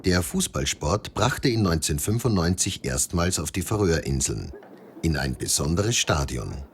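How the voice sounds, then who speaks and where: Deep male narrator, very low and lively, reading a documentary script over a noisy bed. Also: moderate pace.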